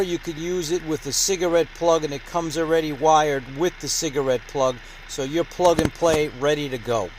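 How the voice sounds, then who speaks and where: A man's voice: on the high side, slight background noise, rough recording. A man hosting a conversational product review, presented in the manner of a radio show. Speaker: host of a radio gear review channel.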